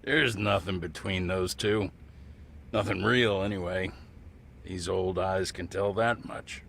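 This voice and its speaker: An actor playing a seasoned old American lawyer, blunt and nostalgic, in a video game dub. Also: male voice, deep voice, steady tone, takes it slowly, vocal fry, fairly bright tone, a little hiss or hum, exaggerated voice. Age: older.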